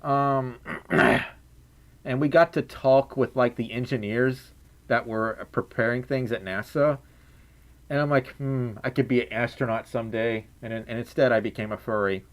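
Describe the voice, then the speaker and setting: A man's voice: medium pitch, fairly even intonation, a little slow. A young man with a scratchy voice, chatting playfully on a livestream, giggling and humming while he reads chat messages. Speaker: streamer. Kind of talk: casual livestream chat reading donations.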